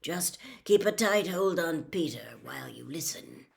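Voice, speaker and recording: A woman voicing a calm male wizard teacher in an audiobook, speaking in a quiet, measured, patient manner with firm authority. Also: deep voice, vocal fry, heavily acted. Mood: quietly firm.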